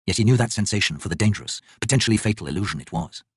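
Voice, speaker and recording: A man's voice: fairly low pitch, animated, very fast, a little hiss or hum. A man reading dramatic fantasy narration as an audiobook narrator.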